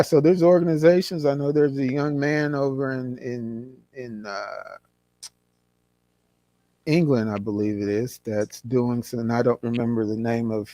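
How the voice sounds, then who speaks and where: A man's voice: medium pitch, darkish tone, no echo. Rambling older man, dry sound. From California. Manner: rambling, reminiscing with many restarts. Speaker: guest. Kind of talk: casual conversation.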